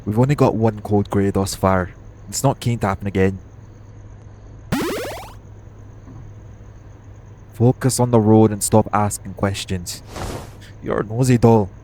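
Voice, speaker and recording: A man's voice: lowish voice, fairly even intonation, normal speed, background noise, natural voice. A man playing a cocky, teasing, foul-mouthed hitman in an audio roleplay. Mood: reassuring. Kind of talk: audio roleplay acting addressed to the listener.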